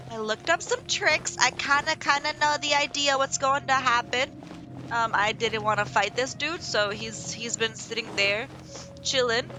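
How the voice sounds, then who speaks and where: A young woman casually commenting as she plays a video game, self-deprecating and rambling, yelling at the screen at times. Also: fairly high pitch. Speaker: gaming channel host. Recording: game music underneath.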